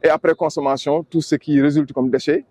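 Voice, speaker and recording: A Senegalese man, a planning official, giving a halting, deliberate and formal explanation to the press. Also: fairly high pitch, steady tone, darkish tone, breathy edge, no room sound. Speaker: regional development agency planning official.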